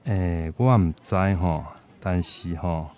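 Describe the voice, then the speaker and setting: A man's voice: low-pitched, dark tone, slight background noise. A man playing a kindly old Taiwanese grandfather who keeps a shop, in a radio drama, with a Taiwanese accent. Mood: genial, musing. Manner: code-switches into Taiwanese Hokkien, fond and unhurried.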